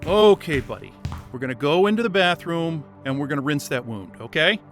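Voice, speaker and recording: A man's voice: a little high, lively intonation, fairly quick, big-room sound, soft background music. An actor voicing a stressed, grumpy isolated man in an audio drama, talking bluntly and swearing freely. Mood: strained. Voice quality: blunt and profane. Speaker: lead character.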